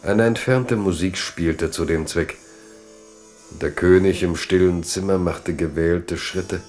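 Deep, slow, solemn male narrator. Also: darkish tone. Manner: measured, solemn. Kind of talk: scripted literary radio play narration. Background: faint music.

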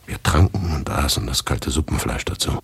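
A man narrating a literary radio story in the first person, with measured, deliberate storytelling. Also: extremely low pitch, animated, vocal fry, breathy edge, no room sound.

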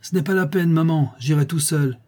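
A man's voice: on the high side, normal speed, darkish tone, voice pushed up, close to an everyday voice. A man voicing a proud, stubborn little aristocratic boy in a storybook, with a haughty, vehement delivery.

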